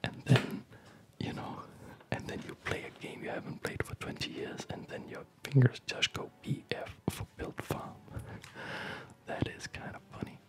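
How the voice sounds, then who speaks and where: Slow, whispering man playing a game. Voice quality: soft breathy whisper. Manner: rambling, hesitant, unhurried. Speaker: gaming video host. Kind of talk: whispered ASMR game commentary.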